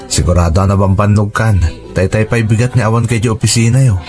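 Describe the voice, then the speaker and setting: A man playing a sincere young factory worker in love, earnest and gentle, in a radio romance drama. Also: on the low side, steady tone, fairly quick, lightly acted. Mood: earnest, tender. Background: background music.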